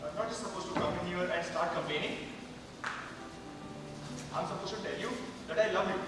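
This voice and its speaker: A man's voice: high voice, fairly quick, recorded from a distance. A man playing an exhausted, loving and angry older brother in a stage play monologue. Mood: weary, tender. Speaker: amateur stage actor. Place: stage in a large hall. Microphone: distant microphone. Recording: very echoey hall from afar, loud music.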